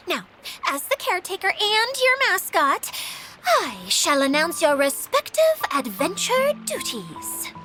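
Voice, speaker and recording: A woman's voice: extremely high pitch, huge pitch swings, slow, pushed and bright, soft background music, heavily acted, low-quality recording. A woman voicing a timid, polite and self-doubting mascot caretaker in a video game, speaking in a hesitant, apologetic way. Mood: earnest, polite.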